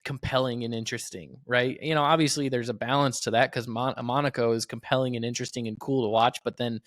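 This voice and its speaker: A man's voice: medium pitch, fairly even intonation, fast, fairly bright tone, no echo. Male American podcast co-host, mid-range voice, conversational debater of racing matters, dry close recording. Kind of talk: casual motorsport podcast discussion.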